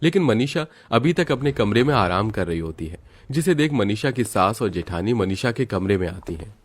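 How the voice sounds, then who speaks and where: Low-pitched male story narrator. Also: big pitch swings, moderate pace.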